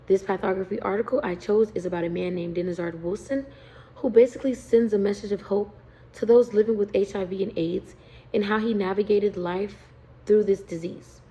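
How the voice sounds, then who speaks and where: Young woman, student, class presentation, earnest, steady, mellow tone. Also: mid-range voice, rough recording. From United States.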